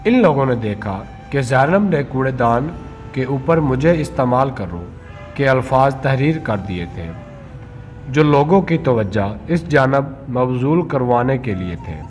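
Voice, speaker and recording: A man reading a scripted moral story for children as a storyteller, in a measured read-aloud manner. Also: mid-range voice, lively intonation, faint music behind.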